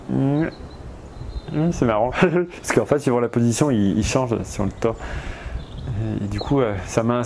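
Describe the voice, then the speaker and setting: A man's voice: mid-range voice, darkish tone, slight background noise. A man with a cold, rambling in a hesitant casual vlog, full of fillers and restarts and chatty asides to his viewers. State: has a cold. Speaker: vlogger. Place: outdoors in spring.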